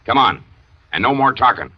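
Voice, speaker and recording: An actor playing a blunt police investigator who grills suspects in a curt, probing way, in old-time radio drama acting. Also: male voice, mid-range voice, animated, normal speed, very noisy, close to an everyday voice. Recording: dull old radio recording.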